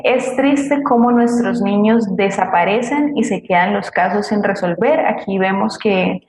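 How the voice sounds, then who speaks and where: A woman's voice: fairly high pitch, a little mellow. A woman co-hosting an online programme, reading audience comments aloud between short conversational links. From Colombia. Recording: echoey room, faint background noise, clicks.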